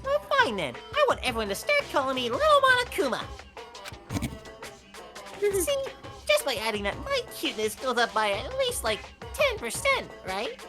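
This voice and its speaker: A woman voicing a childish, impish mascot bear with a dark streak, whiny and theatrical, in an amateur game dub. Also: sits high, wildly swooping pitch, moderate pace. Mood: petulant. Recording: loud music behind the voice.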